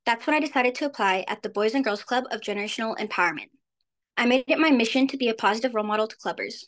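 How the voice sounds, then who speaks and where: A woman's voice: on the high side, animated, racing, a bit bright. A seventeen-year-old girl from New Mexico giving an earnest prepared speech on a video call. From New Mexico, USA. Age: teen, 17. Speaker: youth speaker. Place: indoors, virtual background. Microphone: video call webcam.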